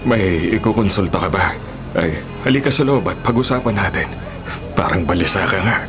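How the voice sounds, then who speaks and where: An actor playing a calm, reassuring older male psychiatrist in a Filipino radio drama. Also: deep voice, normal speed, over music. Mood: calm, solicitous. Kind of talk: radio horror drama acting.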